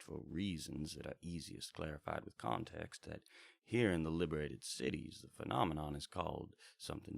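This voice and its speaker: A man's voice: extremely low pitch, gravelly, slightly rough, heavily acted. A man playing a genteel, curious wandering bard, telling first-person stories in wordy, hesitant, old-fashioned formal phrasing for a radio drama. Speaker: host and storyteller of a radio drama series. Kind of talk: in-character first-person storytelling over music.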